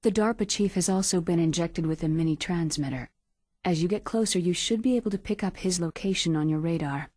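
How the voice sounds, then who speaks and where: Woman acting a low-voiced, composed scientist. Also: brisk, a little mellow, no room sound, natural voice. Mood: cool, clinical. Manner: clinical, crisp explaining. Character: composed, proud, precise female military scientist. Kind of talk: video game cutscene acting.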